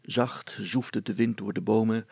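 Male narrator, gravelly, husky, dry close sound, storybook reading. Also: on the low side, fairly even intonation, measured pace, darkish tone, no echo.

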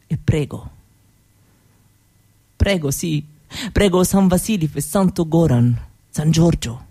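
An actress playing a weary, bitter Roma mother who begs, speaking halting Italian with a Roma accent in a theatrical monologue. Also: on the low side, warm tone, slightly rough, exaggerated voice. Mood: tired, lost. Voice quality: broken, foreign-sounding Italian. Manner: slow, repetitive, halting.

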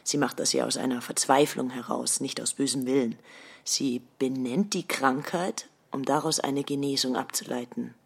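Radio drama: outspoken sceptic, deep for a woman, husky, dry and analytical. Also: moderate pace, heavily acted. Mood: analytical, sceptical. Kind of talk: German radio play dialogue.

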